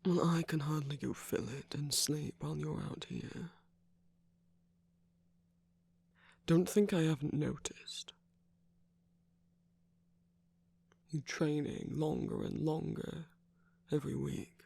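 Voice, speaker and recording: A man playing an affectionate, concerned villain in an intimate ASMR roleplay, speaking softly and deliberately with a husky, breathy, creaky voice. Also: fairly high pitch, fairly even intonation. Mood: gently chiding. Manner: soft, deliberate, tender coaxing with whispered phrase endings. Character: affectionate, concerned male villain, slim and sickly.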